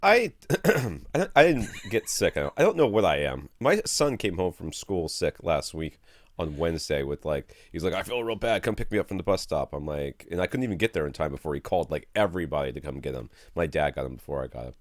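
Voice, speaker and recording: A man in his forties hosting a casual podcast from a small home studio, rambling and animated, feeling run down and sucking a cough drop. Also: sits low, brisk, dry recording. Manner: rambling, animated, easily amused. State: under the weather, with a cough drop in his mouth. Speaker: podcast host. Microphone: studio mic with headphones.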